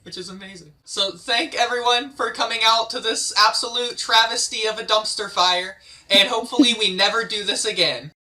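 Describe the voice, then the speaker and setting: A man's voice: sits high, moderate pace, sharp tone. A man chatting casually in a wry, joking way as he runs a script read. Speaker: organizer of a table read. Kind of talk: casual chat and script reading.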